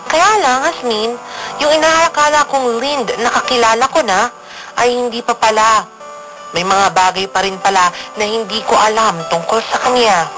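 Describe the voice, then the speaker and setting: A woman's voice: on the high side, normal speed, close to an everyday voice, low-quality recording. A woman with a Filipino accent playing a lovelorn young letter writer, narrating sincerely in confiding, sentimental Taglish for radio drama. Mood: wistful, confiding. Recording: music bed behind narration.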